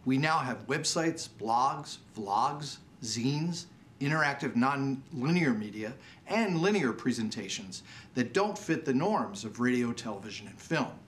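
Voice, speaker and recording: A man in his sixties hosting with a formal, scripted, clearly worded presentation. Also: medium pitch, lively intonation, measured pace, fairly bright tone, slight background noise. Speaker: awards show host. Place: indoor TV studio set.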